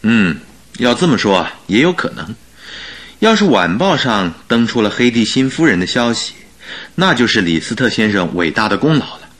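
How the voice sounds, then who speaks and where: An actor playing a good-natured, wry husband and detective's companion in a radio drama, bantering and exclamatory. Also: male voice, medium pitch, big pitch swings, moderate pace, fairly bright tone.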